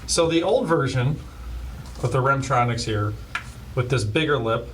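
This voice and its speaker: A man's voice: mid-range voice, lively intonation, measured pace. A man hosting a casual product demo, explaining plainly with many fillers. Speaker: host of a scanner shop's product videos. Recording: voice a bit far from the mic, faint hiss.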